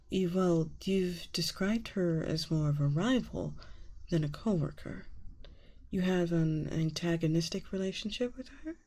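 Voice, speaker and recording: Slow, breathy woman playing a soft-spoken therapist. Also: fairly low pitch. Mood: attentive, probing. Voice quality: breathy, often whispering. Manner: slow, soothing, deliberate with pauses. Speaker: ASMR roleplay performer. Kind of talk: ASMR roleplay, whispery and soft-spoken.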